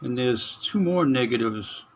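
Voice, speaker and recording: Relaxed man narrating a radio repair. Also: medium pitch, slight background noise. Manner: halting, many fillers, chuckles to himself. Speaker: radio hobbyist vlogger. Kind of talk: casual hands-on narration. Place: small spare room at home.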